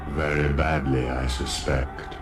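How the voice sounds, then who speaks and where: A depressed menial robot with an enormous intellect, speaking in a very deep, droning voice. He is self-pitying and bitterly sarcastic, as in a comic sci-fi radio play, with loud music behind him. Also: level tone, takes it slowly. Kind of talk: sci-fi comedy radio drama.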